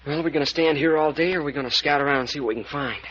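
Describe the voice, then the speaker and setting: A man's voice: a little high, racing, fairly bright tone, natural voice. An actor playing an earnest, stubborn young American man investigating a mystery, in the clipped style of an old radio serial. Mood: impatient. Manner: earnest, insistent. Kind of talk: 1930s-40s American radio serial acting. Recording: dull, noisy old-time radio recording.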